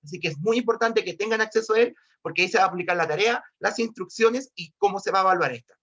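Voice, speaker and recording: A male high school physics teacher with a Chilean accent, giving an online class while a bit hoarse from a cold, repeating himself and often calling to his students. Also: fast, fairly bright tone. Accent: Chilean Spanish. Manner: repetitive, restarts often, keeps addressing students. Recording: dull lo-fi online call audio.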